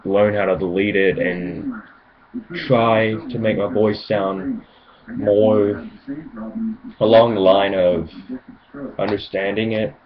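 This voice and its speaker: A man's voice: lowish voice, fairly even intonation, a crowd in the background. A seventeen-year-old male with a speech impediment that blurs his words, giving a hesitant, rambling amateur toy review in a casual way. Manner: hesitant, self-conscious, halting phrases. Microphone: home video camera. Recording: muffled lo-fi camera mic, clear noise.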